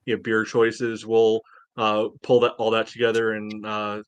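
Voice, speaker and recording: A man's voice: fairly low pitch, monotone, a little slow, clear, resonant tone, dry recording, dull audio. A man co-hosting a soccer podcast in casual banter, with an opinionated, teasing way of talking. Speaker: podcast co-host.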